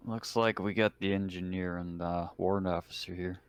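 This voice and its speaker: Man, very deep voice, flat monotone, casual roleplay talk, tabletop player. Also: normal speed, clear, resonant tone, no echo. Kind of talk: casual in-character game talk.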